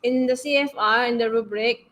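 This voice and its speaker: A woman's voice: on the high side, steady tone, moderate pace, fairly bright tone, a little hiss or hum. A woman hosting an interview, asking questions and sharing her thoughts in a conversational way with some fillers. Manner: conversational, thinks aloud with fillers. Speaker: interview host, teacher. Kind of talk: casual interview chat.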